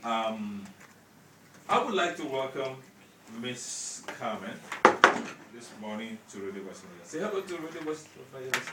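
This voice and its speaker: A man's voice: medium pitch, sing-song, moderate pace, roomy sound, very noisy. A man hosting a radio interview, reading an introduction with many hesitations and fillers. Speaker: radio show host.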